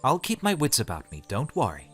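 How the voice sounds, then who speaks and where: Guy playing a steady good-natured knight, mid-pitched, fantasy audio drama, bit of music behind. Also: a little fast, husky, full character voice. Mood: wry.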